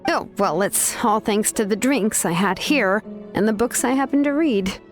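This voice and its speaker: A woman's voice: medium pitch, animated, moderate pace, close to an everyday voice. A woman voicing an easygoing, considerate young adult craftswoman in a video game, with a casual, reassuring manner. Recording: music behind the voice.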